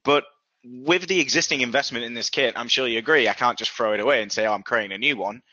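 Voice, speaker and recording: A man's voice: mid-range voice, fast talker, sharp tone, no room sound, highs cut off. A man in his thirties with a Yorkshire accent, presenting casually as a guest on a web call, chatty and self-deprecating. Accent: Yorkshire English. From Yorkshire, England. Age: 30s.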